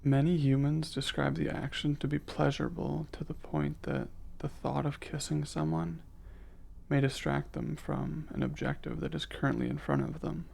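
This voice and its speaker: A man playing a curious, reserved male alien in an ASMR roleplay, speaking softly in formal, precise and hesitant wording. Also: on the high side, level tone, normal speed, gravelly. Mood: attentive, intrigued. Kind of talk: ASMR roleplay, soft intimate delivery.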